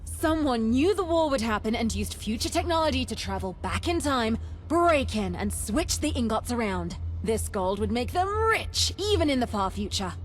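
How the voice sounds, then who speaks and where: A woman playing an eccentric, excitable, boastful young treasure hunter in a video game, chatty and theatrical with dramatic emphasis. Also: on the high side, normal speed, hardly any pauses, faint music behind. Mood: conspiratorial excitement. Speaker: game companion character.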